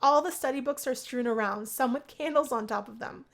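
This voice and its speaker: Female narrator for a visual novel read-aloud, higher register, expressive first-person storytelling. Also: brisk, vocal fry.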